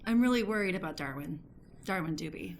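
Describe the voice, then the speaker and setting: A woman's voice: on the low side, expressive, brisk, vocal fry, slight background noise. A woman playing an earnest, eccentric, slightly condescending character in an improvised radio play, hesitant and confiding. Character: earnest, eccentric, condescending woman with secret cat alter ego.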